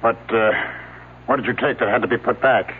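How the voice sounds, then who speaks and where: A man's voice: mid-range voice, steady tone, fairly quick, husky. An actor playing a defensive, scornful male archaeology professor in a radio mystery drama, speaking curtly and indignantly. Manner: curt, indignant. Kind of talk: 1970s radio drama acting. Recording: tinny old radio broadcast.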